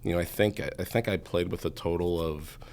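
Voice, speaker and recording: A man's voice: low voice, fairly quick, darkish tone. A man, telling his story as an interview guest in a rambling way, full of "you know" fillers. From Massachusetts. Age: 40s. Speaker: guest, entrepreneur.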